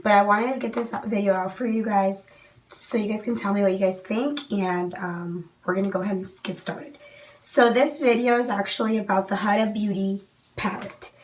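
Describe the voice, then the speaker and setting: A woman's voice: mid-range voice, fairly even intonation, normal speed, distant mic. A young woman with a cold and a fading voice, chatting casually through a makeup product review with many "um"s. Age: young adult. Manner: chatty, many "um"s, frank opinions. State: losing her voice from a cold. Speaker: beauty vlogger. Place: small indoor room.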